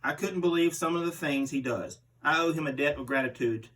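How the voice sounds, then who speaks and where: A man's voice: on the high side, a little fast, a bit bright, natural voice. A man reading aloud as a brutal, boastful barbarian pro wrestler in a menacing promo style. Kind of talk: interview read aloud as a wrestler. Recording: distant microphone.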